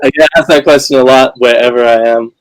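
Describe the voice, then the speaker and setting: A man's voice: medium pitch, steady tone, quick pace, no echo, low-quality recording. A young man from England with a mixed British, Dominican and American accent, talking reflectively as a filmmaker guest in a casual interview. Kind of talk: casual live-stream interview.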